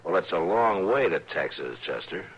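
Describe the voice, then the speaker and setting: An actor playing a calm, steady frontier marshal man who questions people in a dry, measured way, in an old radio drama. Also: low-pitched, breathy edge, exaggerated voice. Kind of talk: 1950s radio drama acting. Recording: old radio broadcast, dull and hissy.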